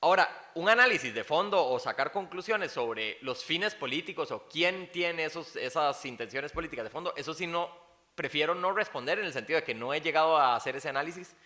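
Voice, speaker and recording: A man's voice: on the high side, lively intonation, a little fast, pushed and bright. A man on a university panel answering a question cautiously, hedging and restarting often. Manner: hesitant, cautious, many fillers and restarts. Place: university lecture hall.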